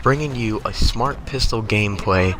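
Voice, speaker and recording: A man's voice: lowish voice, normal speed, crowd noise, low-quality recording. A young American man telling casual comic stories over gameplay in a profane, exasperated, conversational way. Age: young adult. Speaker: gaming video creator. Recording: noticeable background noise.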